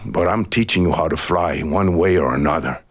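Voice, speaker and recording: A man voicing a wise, patient eagle who speaks slowly, firmly and coaxingly. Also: a bass voice, pitched below the performer's own voice. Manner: slow, firm and coaxing.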